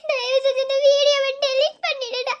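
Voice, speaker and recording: Woman playing a young female student, piercingly high voice, distressed, imploring, tearful, melodramatic drama delivery. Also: flat delivery, normal speed, lo-fi sound. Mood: desperate begging. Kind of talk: melodramatic Tamil audio drama acting.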